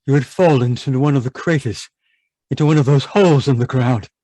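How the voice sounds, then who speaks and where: An actor playing an anguished, haunted man in an old-time radio horror monologue, halting and repetitive in a melodramatic way. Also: mid-range voice, mellow, no room sound, natural voice. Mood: dread, despair. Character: anguished, haunted man raving about monstrous giant worms.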